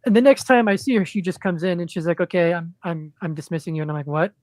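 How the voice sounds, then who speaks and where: A man chatting as a guest on a podcast, in a monotone, rambling way with lots of ums and restarts. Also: high voice, fast, no echo, studio quality. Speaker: podcast guest, indie comic creator. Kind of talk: casual podcast chat.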